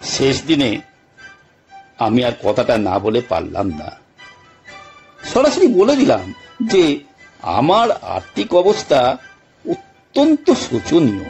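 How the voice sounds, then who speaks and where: A man narrating an audio story in the first person, with theatrical, deliberate phrasing and frequent pauses. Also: mid-range voice, a little mellow. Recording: music bed behind narration.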